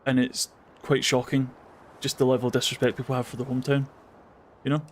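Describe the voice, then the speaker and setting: A man's voice: mid-range voice, flat delivery, brisk, slight background noise. A man with a Scottish accent, streaming a game and chatting casually with his viewers, swearing now and then. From Scotland. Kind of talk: casual commentary while gaming. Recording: game music behind voice.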